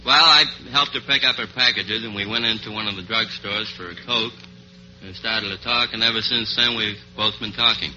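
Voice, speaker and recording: Young man, low and very bright voice. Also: moderate pace. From Boston, Massachusetts. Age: young adult. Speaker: quiz show contestant, antique dealer. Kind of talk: chatty answers to a host. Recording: dull, noisy old radio recording.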